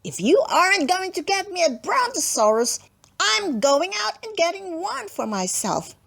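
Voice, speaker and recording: Screechy, bratty little girl, very high. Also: sing-song, a little slow, gravelly, fairly bright tone, full character voice. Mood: demanding, defiant. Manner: whiny, screechy, insistent. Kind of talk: children's storybook read-aloud.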